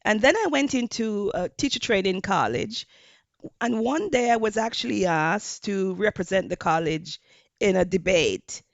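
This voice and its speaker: A woman from Jamaica with a Jamaican accent, a hospitality professor giving measured interview answers with frequent fillers. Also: mid-range voice, gravelly, no echo, muffled recording.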